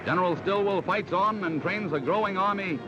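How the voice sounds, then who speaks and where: A man's voice: sits high, brisk. An American man narrating a 1940s newsreel in a dramatic, emphatic declamatory style, on an old film soundtrack. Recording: dull vintage 1940s mono film soundtrack. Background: loud music.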